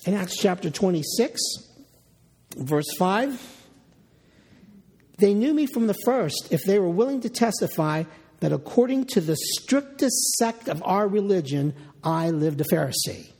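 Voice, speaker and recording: Gravelly, high-pitched man preaching in a hall. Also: expressive, unhurried, darkish tone. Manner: reads scripture aloud then paraphrases it in first person. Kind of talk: expository Bible sermon.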